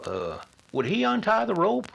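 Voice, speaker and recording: Man acting flustered blacksmith, radio play, gravelly, sharp, wildly swooping pitch. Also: wildly swooping pitch, normal speed, full character voice. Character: helpful, easily flustered village blacksmith, male. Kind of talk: old-time radio drama acting.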